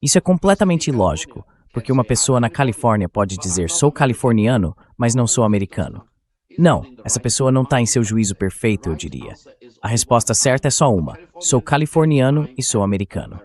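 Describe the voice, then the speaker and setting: A man's voice: medium pitch, sing-song, a little fast, darkish tone. A man with a Brazilian accent speaking as a dubbed interview guest, giving political commentary in an emphatic, didactic manner.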